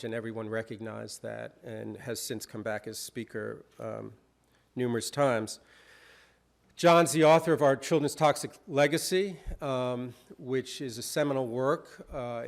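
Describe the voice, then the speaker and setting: A man hosting a conference, giving a friendly, informal introduction of the keynote speaker with occasional fillers, in a lecture hall. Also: mid-range voice.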